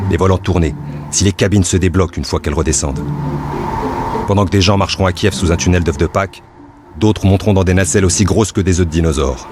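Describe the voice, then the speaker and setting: A man plays a devoted fairground worker and dreamer, reflecting aloud as if writing a journal. His voice sits low, with clear outdoor noise and vehicles behind it, in a scripted audio drama. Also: lightly acted. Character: amusement park worker in Soviet Pripyat, devoted, reflective dreamer.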